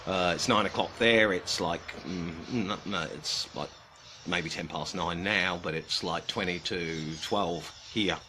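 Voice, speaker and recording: Halting British-accented man, noisy background. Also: sits low. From Australia. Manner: halting, hesitant, many pauses and restarts. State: low mood, subdued. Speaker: livestream host of a carving group. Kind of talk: casual livestream chat, greeting viewers.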